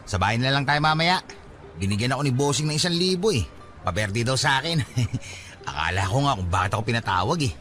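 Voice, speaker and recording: A man's voice: medium pitch, quick pace, soft background music, close to an everyday voice. A man with a Filipino accent playing a good-natured mama's boy in his twenties, joking with coworkers in a radio drama. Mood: jovial. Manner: animated, joking. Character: Onad, good-natured 27-year-old male mama's boy.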